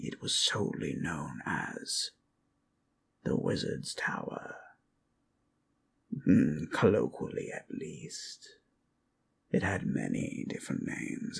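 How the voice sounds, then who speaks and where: Old undead lich for asmr roleplay, super slow n ominous, gravelly whispery voice that swoops around a lot, bit of background noise. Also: male voice, low-pitched, exaggerated voice. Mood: musing, storytelling. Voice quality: gravelly, breathy, often dropping to a whisper. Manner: slow, ominous, theatrical, with dramatic pauses. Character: ancient powerful undead lich, brooding loremaster of a fantasy world.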